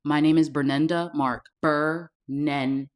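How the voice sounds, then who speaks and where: A woman's voice: deep voice, steady tone. Earnest woman coach telling her story. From South Florida, USA. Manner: earnest and deliberate, slows to stress key words. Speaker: safety and preparedness coach. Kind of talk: personal storytelling to camera.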